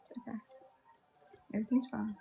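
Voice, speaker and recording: A woman's voice: fairly high pitch, little pitch movement, brisk, vocal fry, warm tone. A woman with a Southern US accent hosting a casual livestream, chatting and addressing viewers one by one. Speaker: livestream host.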